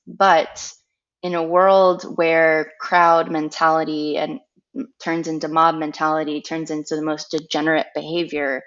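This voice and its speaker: A woman speaking as a podcast guest, frank and emphatic in how she makes her points. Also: low-pitched. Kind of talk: casual interview. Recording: dull lo-fi sound.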